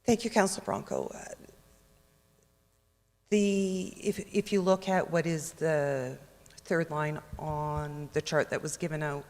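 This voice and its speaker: A man speaking as a city councillor in a council debate, hoarse from losing his voice, earnest and halting as he restarts phrases. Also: a little high, fairly even intonation. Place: council chamber. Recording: reverberant council chamber.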